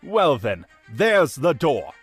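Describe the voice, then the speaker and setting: A man playing a pompous, imperious archbishop in a period audio drama, haughty and contemptuous in his deliberate delivery. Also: fairly high pitch, huge pitch swings, breathy edge, soft background music, exaggerated voice. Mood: cold contempt.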